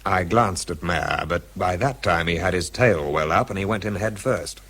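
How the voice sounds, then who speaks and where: An actor playing a scientific aide who narrates a radio drama in the first person, dry, wry and understated. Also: male voice, deep voice, normal speed, a bit bright. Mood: dry recounting.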